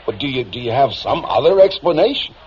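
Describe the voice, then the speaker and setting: An actor playing a weary, baffled police captain with a brusque, colloquial manner, in vintage radio drama style on a dull recording. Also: male voice, medium pitch, very animated, fairly quick, a little hiss or hum, lightly acted. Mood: frustrated, baffled.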